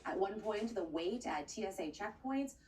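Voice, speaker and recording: A woman's voice: mid-range voice, lively intonation, normal speed, a little mellow, far from the mic, slight background noise. A woman reading scripted news as an anchor, introducing reports.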